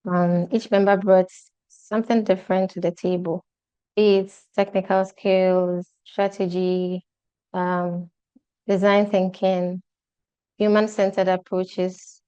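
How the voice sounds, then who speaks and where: A woman moderating an online meeting, talking in a halting way with many filler pauses. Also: mid-range voice, little pitch movement, mellow, clean tone, dry recording. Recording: dull lo-fi video-call audio.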